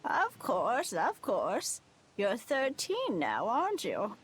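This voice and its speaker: An actress playing a prickly, portentous older village elder preaching doom in a video game. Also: female voice, on the high side, wildly swooping pitch, a little hiss or hum, heavily acted. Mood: doddering. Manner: slow, portentous, easily indignant.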